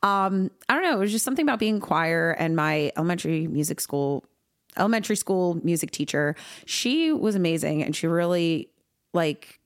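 A woman in her thirties, originally from New York, chatting as a podcast guest. She talks in a bubbly, easygoing way, full of filler words and quick laughs. Her voice sits a little lower than most women's. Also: lively intonation, clear, resonant tone. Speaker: guest musician. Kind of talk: casual podcast chat.

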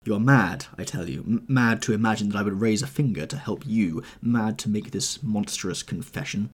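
A man voicing a hard, cold gentleman scientist who answers with contempt, in an audiobook reading. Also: on the low side, normal speed, slightly rough. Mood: indignant.

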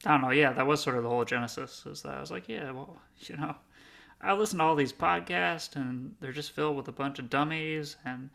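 A man's voice: fairly high pitch, fairly quick, creaky, pushed and bright. A man in his early thirties co-hosting a podcast in a rambling, self-deprecating, casual way. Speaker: podcast co-host.

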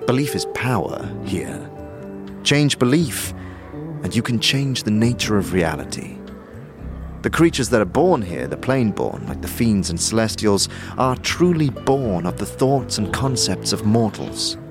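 A man voicing a patient, learned sage in a fantasy audio drama, lecturing formally and deliberately. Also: fairly low pitch, big pitch swings. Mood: earnest, instructive. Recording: music behind the voice.

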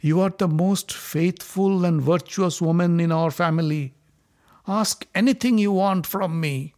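A man's voice: sits high, measured pace, a little mellow, pitched above the performer's own voice. A man voicing an old king who speaks in stern, declaiming tones. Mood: gracious.

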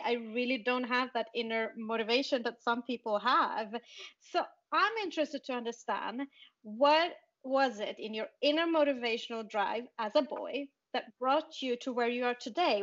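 Brief: woman interview host, slightly raised pitch, friendly questioner, muffled lo-fi audio. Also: fairly bright tone. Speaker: podcast host. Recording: dull lo-fi audio.

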